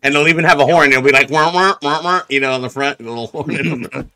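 A man's voice: a little high, fast, clear, resonant tone. A man in his twenties co-hosting a podcast, joking and teasing in casual group chat. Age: 20s. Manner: joking, teasing, animated storyteller. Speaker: podcast co-host.

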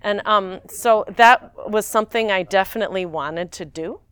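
A woman in her forties, an actress guest, talking in a rambling, animated way and laughing often. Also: mid-range voice, normal speed, clean tone, dry recording. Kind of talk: casual interview chat.

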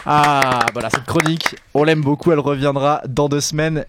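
A man's voice: fairly high pitch, fairly even intonation, normal speed, noisy background. A man hosting a radio talk show from a studio microphone, upbeat and keeping the show moving. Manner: upbeat, moves the show along.

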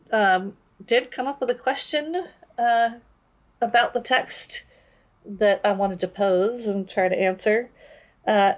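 A woman with a voice of average pitch for a woman. She speaks casually and conversationally as a participant in an unscripted book discussion.

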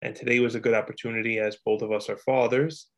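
A man's voice: mid-range voice, level tone, quick pace, gravelly. A man co-hosting a podcast in a loose, rambling casual chat, often breaking into laughter. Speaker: podcast co-host.

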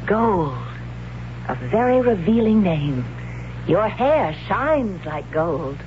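Brief: an imperious mistress in a radio play, high, sing-song and slow, on a dull old broadcast with a faint hum. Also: female voice, sing-song. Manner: commanding, cold and haughty. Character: domineering woman who takes a young man as her slave. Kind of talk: 1970s radio drama acting.

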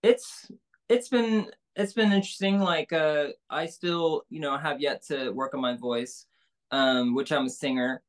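A woman singer with a low speaking voice, talking candidly and loosely with many fillers in an interview. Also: measured pace. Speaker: singer-songwriter guest. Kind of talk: casual interview conversation.

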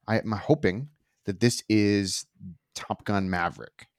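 A man in casual podcast conversation, irreverent and quick to swear. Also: lowish voice, no echo, clean studio sound. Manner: irreverent, profane. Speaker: podcast panelist. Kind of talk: casual chat.

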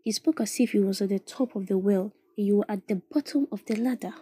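A woman acts a firm, indignant young girlfriend in a radio play. Her voice is mellow and husky. The sound is dry and close, with a cool, reproving delivery. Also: mid-range voice, fast, no room sound. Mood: indignant, earnest.

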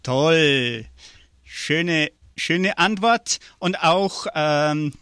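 A man's voice: fairly high pitch, extremely slow, sharp tone, clean tone, no echo, clean studio sound. A man hosting a live interview and prize draw, chatty and upbeat with frequent hesitations. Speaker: radio host.

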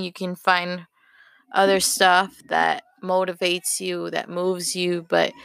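Woman podcast host, slightly hoarse, earnest and rambling. Slow, gravelly delivery, dry close sound. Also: lowish voice, no room sound. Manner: earnest, rambling, lots of fillers. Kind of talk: casual solo podcast talk.

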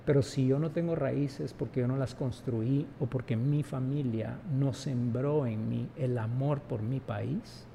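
Gravelly dark-voiced man. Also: mid-range voice, steady tone, unhurried, few pauses, a little hiss or hum. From Guatemala. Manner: emphatic, frequent rhetorical tag questions. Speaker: interview guest. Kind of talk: reflective conversational interview.

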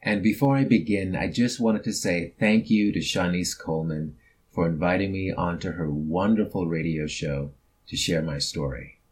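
A man speaking as a guest author, introducing his own story in a measured, somewhat hesitant way. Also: low voice, husky. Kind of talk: introducing and thanking, semi-prepared talk.

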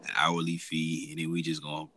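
A man's voice: a bass voice, completely flat delivery, fairly quick, background noise. A man in casual podcast chat, talking slangy and laid-back with lots of fillers.

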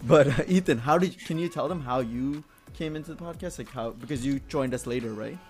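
A man's voice: mid-range voice, fairly quick, darkish tone, soft background music. A man in his twenties hosting a casual podcast chat among friends. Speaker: podcast host.

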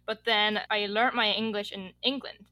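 A woman's voice: mid-range voice, steady tone, normal speed, bright, forceful tone, no room sound. A woman whose first language is Korean, interviewing in English in a conversational way.

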